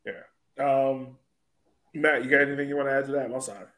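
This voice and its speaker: A man's voice: mid-range voice, little pitch movement, fairly quick, sharp tone. A man hosting a casual soccer podcast discussion. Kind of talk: casual chat.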